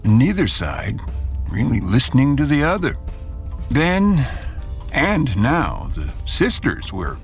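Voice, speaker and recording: An actor playing a genial, fair-minded professor in a radio drama, measured and genteel. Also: male voice, mid-range voice, wildly swooping pitch, soft background music, full character voice. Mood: nostalgic, thoughtful. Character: genial, fair-minded male professor, loyal old friend. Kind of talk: cinematic radio drama acting.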